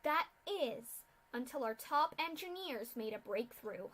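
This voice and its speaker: A woman voicing a cold, masked female pegasus official who lectures loudly in a storybook reading. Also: sits high, animated, measured pace, exaggerated voice. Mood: lecturing. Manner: lecturing, loud.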